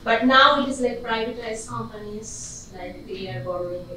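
A woman from Bangladesh, speaking up from the audience, halting and leaning on "like". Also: a little high, bright, forceful tone. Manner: halting, frequent "like". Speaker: audience member. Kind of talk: question-and-answer comment. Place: community conference room. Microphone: far camera. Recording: echoey room from far off, faint hiss.

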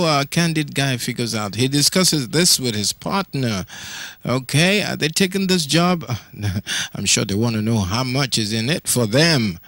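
A man's voice: mid-range voice, a bit bright, dry recording. A Nigerian man narrating a hidden-camera show, teasing the viewers with chuckling comic asides. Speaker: show host, voice-over narrator. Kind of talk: comic voice-over commentary.

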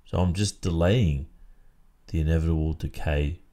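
An Australian man performing an ASMR roleplay as a stern, controlling boyfriend, speaking in a deliberate, measured way. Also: rumbling low, warm tone. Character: stern, controlling, possessive boyfriend lecturing his partner.

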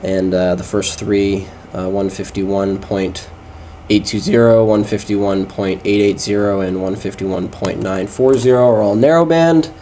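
A man's voice: lowish voice, steady tone, a little slow, few pauses, warm tone. A man giving a casual, hesitant hands-on demonstration of radio gear. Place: small indoor room at a desk. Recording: noisy amateur camcorder or phone audio.

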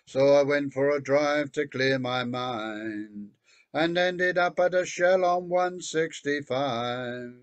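A man's voice: on the high side, clear, resonant tone, no echo. An older man singing a country song at an online open mic, from a home room on a video call. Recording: dull lo-fi video call audio.

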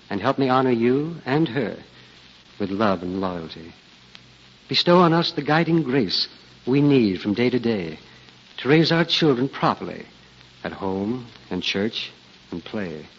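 A man giving a solemn, reverent 1940s radio recitation of a prayer with measured phrasing. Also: fairly low pitch, slightly rough. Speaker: radio reader of a prayer poem. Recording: muffled old radio recording, long reverb.

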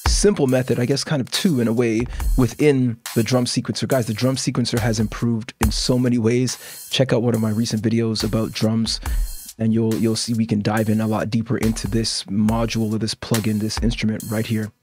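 A man's voice: mid-range voice, fairly even intonation, normal speed, a little mellow. A middle-aged man hosting a casual music production tutorial, explaining step by step in an informal way and often checking in as he demonstrates. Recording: music and drum samples playing behind. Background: instrumental music and beat loops.

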